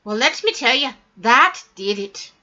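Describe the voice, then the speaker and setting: Female storybook reader, bright and very lively, dramatic emphasis, slightly dull recording. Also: on the high side, unhurried. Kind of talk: expressive read-aloud of a children's story.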